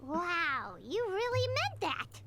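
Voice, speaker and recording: A woman voicing an excitable, blunt and plucky small childlike companion creature in a video game, expressive and full of exclamations, with music behind her. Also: very high voice, takes it slowly, very noisy, exaggerated voice. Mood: excited, chatty. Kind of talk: video game character acting. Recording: background music under the voice.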